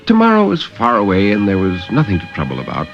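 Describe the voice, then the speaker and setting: A man narrating a story in a theatrical 1950s radio style with measured pauses. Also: on the low side, wildly swooping pitch, soft background music. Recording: dull vintage 1950s radio recording.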